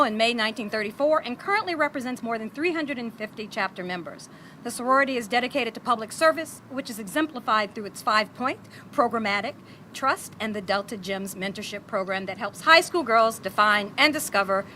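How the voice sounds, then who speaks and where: A woman's voice: fairly high pitch, bright, forceful tone, slight background noise. A woman, a district director formally reading a proclamation at a ceremony. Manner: measured and formal, light laughs. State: slightly hoarse.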